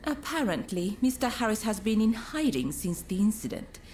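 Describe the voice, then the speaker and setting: A woman's voice: mid-range voice, big pitch swings, normal speed, a little mellow, slight background noise. An actress playing a poised, teasing woman who trades favors in a video game, speaking politely and coyly. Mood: coy, composed. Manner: coy, polite, formal phrasing. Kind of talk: video game cutscene acting.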